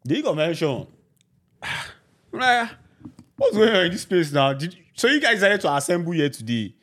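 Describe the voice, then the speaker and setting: A man's voice: high-pitched, moderate pace. A man in his thirties with a Nigerian accent, chatting casually and acting out his reactions as he tells stories. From Nigeria (Ibadan, Lagos). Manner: animated storyteller, acts out reactions. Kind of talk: casual chat.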